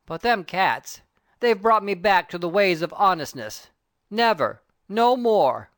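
A woman voicing a sentimental male burglar, talking loudly. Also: mid-range voice, animated, measured pace, no echo, clean studio sound, close to an everyday voice. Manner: loud, rough working-class speech.

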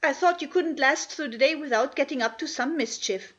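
A high-pitched, furious housemaid, played by a woman reader, scolding indignantly. She sounds like an affronted grown woman in a children's storybook. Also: a little fast, fairly bright tone. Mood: angry.

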